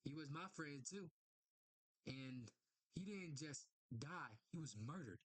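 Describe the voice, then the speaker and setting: A man's voice: on the high side, animated, slow pace, husky, exaggerated voice. A man voicing a grieving young man from a comic who confronts his friend sharply and pushily. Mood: confrontational.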